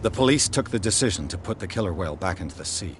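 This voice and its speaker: A man's voice: deep voice, animated, fast talker, background noise. A man playing a serious investigator in a video game, terse and formal.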